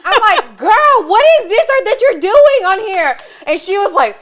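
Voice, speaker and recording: A woman's voice: very high-pitched, measured pace. A woman in her early thirties, a podcast guest, telling stories animatedly and swearing as she laughs. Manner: animated storyteller, acts out friends' voices, swears. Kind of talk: casual chat.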